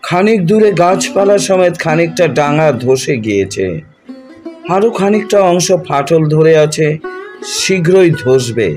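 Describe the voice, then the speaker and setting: A man reads a literary story in a measured, expressive, dramatic narration, drawing out his phrases. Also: on the high side. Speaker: audiobook narrator. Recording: music behind the voice.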